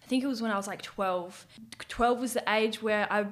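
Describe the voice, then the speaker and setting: Brief: giggly, rambling young Australian woman, mid-pitched, easygoing musician guest. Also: brisk. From Western Australia. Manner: chatty, giggly, trails off with fillers. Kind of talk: casual interview chat.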